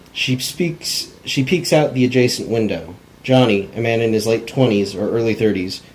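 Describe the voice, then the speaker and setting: A man with a fairly low voice narrates a script's stage directions at a table read. It is an amateur recording with faint noise behind him. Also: darkish tone, poor audio. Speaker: screenwriter reading stage directions.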